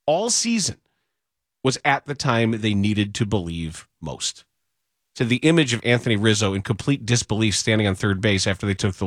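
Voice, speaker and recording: A man's voice: fairly low pitch, no room sound, studio quality. A man hosting a sports radio show, bantering casually, laughing at his own stories and trailing off mid-thought. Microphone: studio microphone.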